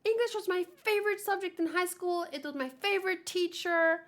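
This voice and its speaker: A woman's voice: very high voice, fairly quick, fairly bright tone. A woman whose first language is Afrikaans, chatting casually in a rambling, giggly way and often correcting herself as she hosts a manga read-along.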